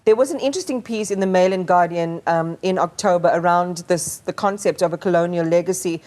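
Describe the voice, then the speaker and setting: A woman's voice: fairly low pitch, brisk, a little mellow. A woman anchoring a news interview, asking long, thoughtful questions and stumbling over repeated words.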